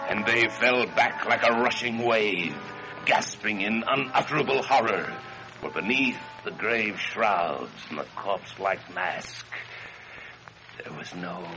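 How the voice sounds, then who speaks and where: A man narrating a gothic horror story in a solemn, theatrical and deliberate manner. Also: mid-range voice, low-quality recording. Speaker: story reader. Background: dramatic music.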